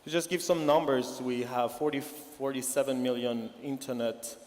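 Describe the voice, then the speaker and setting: A man from Iran, an accelerator founder, answering on a conference panel in a halting way, restarting phrases. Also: medium pitch, steady tone, darkish tone. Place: conference hall. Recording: long reverb in a conference hall.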